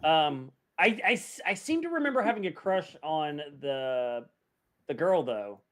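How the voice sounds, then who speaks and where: Lively, higher-pitched man chatting on a podcast. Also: unhurried. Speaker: podcast co-host. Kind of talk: casual chat.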